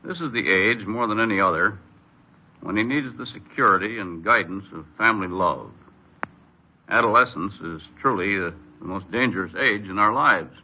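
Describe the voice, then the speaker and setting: A man gives a formal scripted commentary as an official. His voice is fairly low and noticeably husky. The recording has the muffled sound of old radio. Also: normal speed. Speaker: corrections official giving commentary.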